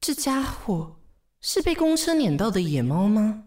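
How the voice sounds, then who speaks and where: A world-weary female secretary in a radio drama, speaking at middle pitch. She is brisk and bossy, with dry sarcasm and little patience. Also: very animated, darkish tone. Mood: exasperated, sardonic. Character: brisk, exasperated, sardonic female office secretary.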